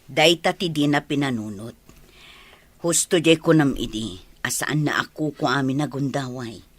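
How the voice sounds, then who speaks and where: A woman's voice: extremely low pitch, moderate pace. A kind village neighbor lady in a radio drama, concerned about folks. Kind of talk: Filipino radio drama acting.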